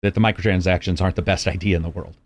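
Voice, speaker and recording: A man with a fairly low voice chats casually, agreeable and easygoing. He laughs readily and backs up the others' points. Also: fairly even intonation. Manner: agreeable, chatty, quick to laugh. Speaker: podcast guest. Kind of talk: casual discussion.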